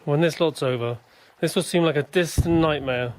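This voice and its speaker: Hopeful trench soldier of faith acted by a man, earnest and questioning, on the high side for a male. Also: normal speed, a little mellow, lightly acted. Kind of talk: radio drama acting.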